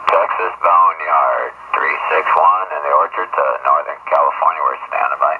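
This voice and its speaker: A man's voice: rumbling low, fairly even intonation, normal speed. A man operating a CB radio, calling out on air in repeated phrases, heard through the radio's speaker. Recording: muffled, staticky CB radio transmission, very noisy.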